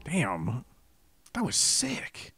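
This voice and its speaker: A man's voice: medium pitch, huge pitch swings, extremely slow, gravelly, pushed and bright, a little hiss or hum. A young man streaming a game, giving casual live reactions. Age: young adult.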